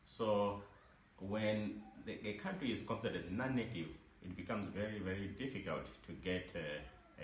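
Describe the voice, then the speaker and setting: A man from Zimbabwe, a teacher giving informal advice in a halting way, full of restarts and repeated words. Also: lowish voice. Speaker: English teacher. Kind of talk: informal advice talk to camera. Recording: echoey room, faint background noise.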